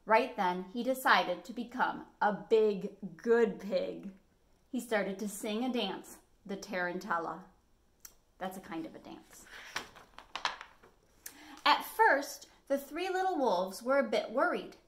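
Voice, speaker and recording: An American woman in her forties reading a picture book expressively as a storytime host, phrasing clearly for children. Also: medium pitch, expressive, slow. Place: small living room at home, indoors.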